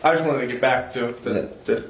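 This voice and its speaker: A man's voice: mid-range voice, fairly quick, slight background noise. A man working as a police interrogator, asking clarifying questions in an interview. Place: indoor police interrogation room. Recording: echoey police interview room recording.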